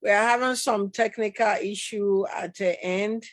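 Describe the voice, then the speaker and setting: A woman's voice: medium pitch, steady tone, normal speed. A woman hosting an online talk show, chatty and welcoming, with many fillers and restarts. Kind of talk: casual interview hosting.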